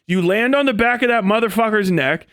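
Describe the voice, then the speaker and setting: A man livestreaming an opinionated, off-the-cuff rant, blunt, sweary and sardonic, acting out imagined exchanges in an animated way. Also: high voice, a little fast, clean tone, dry recording, studio quality. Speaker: livestream host.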